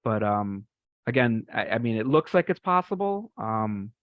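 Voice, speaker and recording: A man's voice: medium pitch, very animated, normal speed, no echo. A man casually explaining something technical on a group call, with a polite, tentative manner. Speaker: participant in a software community call. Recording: dull, band-limited online call audio.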